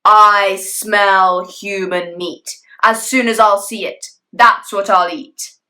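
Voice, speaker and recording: Woman doing a slow, booming father dragon. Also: fairly even intonation, sharp tone. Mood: menacing. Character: menacing huge male father dragon.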